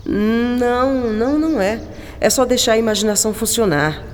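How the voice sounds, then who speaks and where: A woman playing a gentle, philosophical writer in a radio drama, talking in a musing, affectionate and expressive manner. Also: on the high side, normal speed, close to an everyday voice. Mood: reflective. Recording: long reverb, clear background noise.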